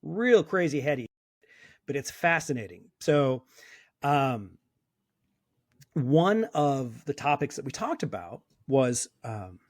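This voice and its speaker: A man's voice: mid-range voice, very animated, slow, long pauses, no room sound, studio quality. A man in his fifties, a sober coach speaking as a guest in a casual interview, talking bluntly and emphatically. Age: 50s. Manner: emphatic and blunt, with occasional swearing.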